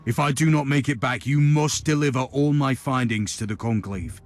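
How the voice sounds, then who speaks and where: A man's voice: medium pitch, normal speed, faint music behind, lightly acted. Stern, commanding inquisitor played by a man. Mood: grave. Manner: imperious, curt, formal. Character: stern, commanding, self-assured male inquisitor. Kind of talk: dramatic game cutscene acting.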